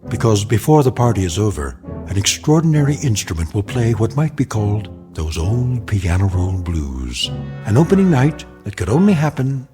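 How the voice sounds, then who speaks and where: Casting: a foreboding, wry narrator for supernatural radio drama, lowish voice, measured delivery over prominent music. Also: male voice, sing-song. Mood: ominous, wry. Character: ominous, wry male narrator of eerie tales.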